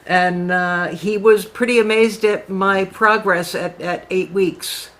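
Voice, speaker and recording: A woman's voice: medium pitch, fairly even intonation, takes it slowly, a bit bright. A woman chatting cheerfully about her recovery from knee surgery over a video call. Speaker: club member. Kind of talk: casual chat.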